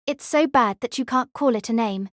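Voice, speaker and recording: A woman playing a cool, deadpan young woman senpai who talks in a flat, demanding way, in an audio drama. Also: fairly high pitch, moderate pace, no echo, studio quality, lightly acted. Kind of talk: amateur audio drama acting.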